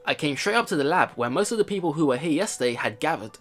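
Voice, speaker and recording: A man's voice: on the high side, animated, quick pace, a bit bright, soft background music. A man hosting a let's play, casually commenting and reading story text aloud, rambling and repeating himself as he thinks aloud. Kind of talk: casual game commentary mixed with reading visual novel narration aloud.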